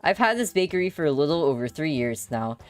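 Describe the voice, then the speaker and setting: Woman reading as a patient young witch baker, lively. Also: low voice, fast, soft background music. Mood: matter-of-fact. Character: young female witch running a bakery, patient, mildly exasperated. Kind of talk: visual novel read-aloud.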